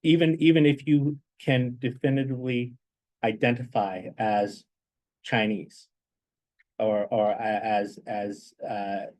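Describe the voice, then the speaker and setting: A man giving reflective advice as a guest speaker, in a halting way that repeats words as he thinks. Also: medium pitch, flat delivery, darkish tone. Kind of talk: reflective advice in an informal talk.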